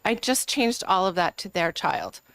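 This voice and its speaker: A woman's voice: fairly low pitch, big pitch swings, moderate pace, gravelly, a bit bright, no echo. A woman legislator presenting an amendment at a committee hearing, speaking earnestly and arguing her case with frequent ums. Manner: earnest, argumentative, many filler ums. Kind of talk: committee testimony.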